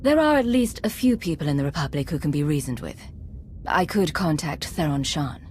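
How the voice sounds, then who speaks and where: A woman playing a cool, composed agent and commander in a video game, speaking in a crisp, businesslike, controlled way. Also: sits low, animated, a little fast, a little mellow, slight background noise. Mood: reasoning. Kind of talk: video game cutscene acting.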